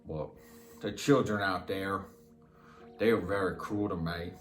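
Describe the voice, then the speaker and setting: An actor playing a simple, slow, matter-of-fact rural Southern man who confesses in a halting, plain-spoken, repetitive drawl, as a dramatic film monologue. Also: deep voice, steady tone, fairly bright tone. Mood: flat, resigned. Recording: roomy echo, music underneath.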